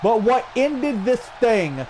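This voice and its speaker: High-voiced excitable male wrestling commentator. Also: slow pace, poor audio. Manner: energetic, frequent shouted exclamations. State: strained throat. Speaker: play-by-play commentator. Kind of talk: excited wrestling commentary over video game. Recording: loud game crowd and noise behind. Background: video game wrestling crowd.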